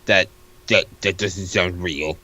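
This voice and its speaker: A man with a fairly low voice and a bright, forceful edge reads comedic dialogue. He sounds mock-formal and bantering, as if improvising around a script. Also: steady tone, slow, highs cut off. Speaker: co-reader of a comic. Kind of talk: comedic character reading, improvised.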